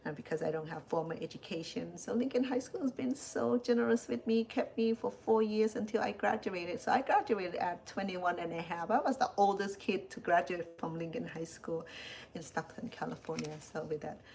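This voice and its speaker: A woman's voice: fairly low pitch, moderate pace, gravelly, darkish tone, poor audio. A woman in her fifties from Cambodia, speaking English with a Cambodian accent, chatting casually at home about her plants and her life, often repeating words as she goes. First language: Khmer. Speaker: chef and home cook. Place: indoors at home. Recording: faint background hiss.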